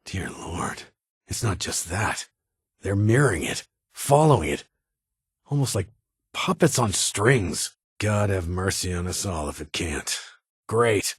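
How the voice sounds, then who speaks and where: Husky, stern army colonel. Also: male voice, sits low, lively intonation, slow, no echo, studio quality, full character voice. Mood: grim dread, then earnest, resolute. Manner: formal, clipped military delivery. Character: stern, guarded army lieutenant colonel, duty-bound man. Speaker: video game voice actor. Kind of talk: video game dialogue acting.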